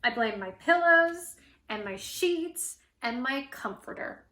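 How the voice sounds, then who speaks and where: Woman playing a high-pitched, defensive young schoolkid. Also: animated, slow, pushed and bright, echoey room, exaggerated voice. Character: defensive young schoolkid blaming everything else.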